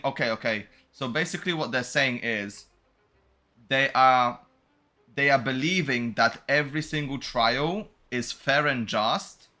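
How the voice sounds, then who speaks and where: Bright-voiced male game streamer. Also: medium pitch. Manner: slangy, animated reactions, stumbles over foreign names. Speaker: game streamer playing and reacting. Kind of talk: casual gameplay commentary, reading dialogue aloud.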